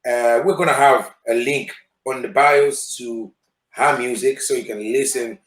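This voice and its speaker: A man's voice: mid-range voice, fairly even intonation, a little slow, a bit bright. Upbeat man hosting, plugging to viewers, roomy echo. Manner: upbeat, promotional, repeats calls to action. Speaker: show host. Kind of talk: casual interview hosting.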